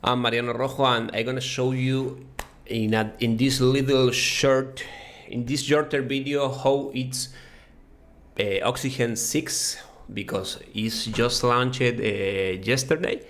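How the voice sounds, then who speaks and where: A man from Argentina with an Argentine Spanish accent, whose first language is Spanish, giving a halting, casual walkthrough of software with many fillers and restarts. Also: mid-range voice, fairly even intonation. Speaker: web design course creator.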